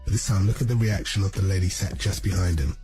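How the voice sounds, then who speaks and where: A man's voice: deep voice, fast talker. A man narrating commentary in an explanatory way. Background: music.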